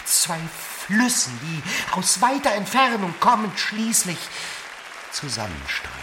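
An ardent, wildly swooping seducer. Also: male voice, high voice, huge pitch swings, exaggerated voice. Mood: tender, wooing. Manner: ardent, theatrical, halting phrases with dramatic pauses. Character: passionate, smooth-talking male seducer, scornful of convention. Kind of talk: radio drama acting. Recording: busy crowd noise behind the voice.

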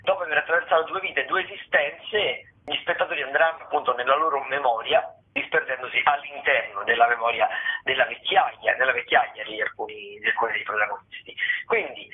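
Guy phoning in a radio culture rundown, wordy and halting, mid voice, phone sound. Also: medium pitch. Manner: halting, wordy, many repetitions. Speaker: theatre critic, radio contributor. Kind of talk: casual radio listings rundown. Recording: tinny phone line.

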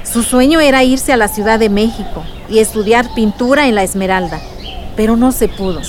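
A woman's voice: a little high, brisk, natural voice. An actress playing a young Mexican village woman who recounts memories in a reflective way in a radio drama. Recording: clear outdoor noise with birdsong.

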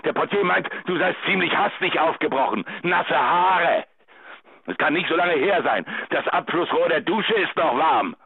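An actor playing a bitter, jealous husband in a German radio drama, raspy and halting, as a phone message. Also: male voice, fairly high pitch, lively intonation, no room sound, full character voice. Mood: cold, bitter. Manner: halting, menacing, anguished. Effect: answering machine phone message. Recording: thin phone line.

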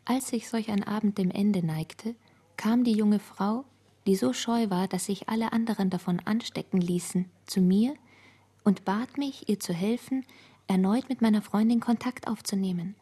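An actress playing a furtive woman narrator in a radio play, speaking in a hushed, breathy voice. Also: mid-range voice, moderate pace, natural voice.

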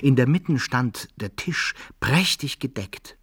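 An actor playing a proud, wary adventurer in a radio play, with measured, ceremonious, old-fashioned speech. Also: male voice, medium pitch, animated, fairly bright tone. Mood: measured, recounting. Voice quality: gravelly, husky. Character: proud, wary male adventurer recounting his exploits. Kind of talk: radio play acting and first-person narration in archaic German.

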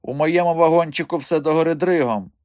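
A man's voice: fairly high pitch, brisk, a little mellow, dry recording, clean studio sound, voice pushed up, natural voice. A man voicing a sneaky, cowardly circus dwarf with a whiny, wheedling manner, in a storybook reading. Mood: wheedling, sly.

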